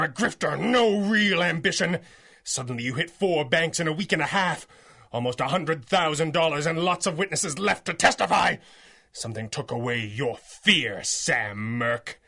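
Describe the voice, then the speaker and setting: An actor playing a righteous, menacing masked vigilante in a theatrical 1930s-style superhero radio drama, taunting and articulate. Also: male voice, a little high, animated, normal speed, gravelly, sharp tone, heavily acted. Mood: stern, threatening.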